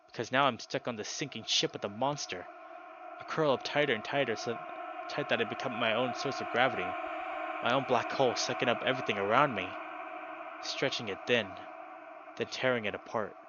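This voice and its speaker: A man's voice: medium pitch, fairly quick, muffled recording, very noisy, natural voice. A man voicing a paranoid, guilt-ridden young male animal character, reading visual novel narration in a troubled, confessional way. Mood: panicked, despairing. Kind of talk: visual novel text read dramatically. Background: guitar music.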